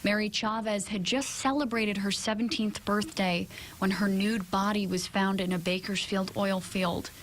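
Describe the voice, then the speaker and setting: A woman's voice: medium pitch, steady tone, moderate pace, slight background noise, rough recording. A woman with an American accent reading scripted news narration as a television reporter.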